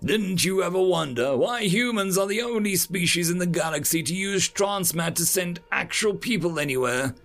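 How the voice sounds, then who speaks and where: A man's voice: high voice, expressive, normal speed, hardly any pauses, fairly bright tone, soft background music, voice pushed up. A man voicing Chorus, a distraught, preachy male alien with tentacles, in a storybook reading. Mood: lecturing. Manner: anguished, lecturing.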